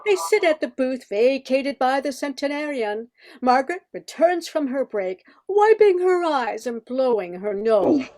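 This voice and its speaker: A woman's voice: sits high, big pitch swings, measured pace, darkish tone. An older woman reading scripted narration as the narrator of a radio play. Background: music.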